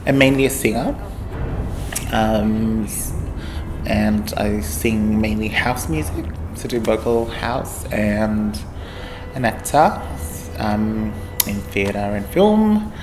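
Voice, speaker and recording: Very deep-voiced, slow-talking woman. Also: over music, low-quality recording. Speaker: singer and actor. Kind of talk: interview testimonial.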